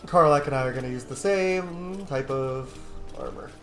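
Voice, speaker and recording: A man's voice: medium pitch, normal speed, fairly bright tone. Informal man commentating over game music. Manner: informal, swearing, talking to himself. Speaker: gaming video host. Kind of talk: casual gameplay commentary.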